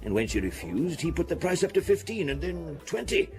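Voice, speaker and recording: An actor playing a charming, courteous Corsican bar owner in a radio drama, speaking in a deliberate, storytelling way. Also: male voice, a little high, very animated, soft background music. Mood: confiding, animated.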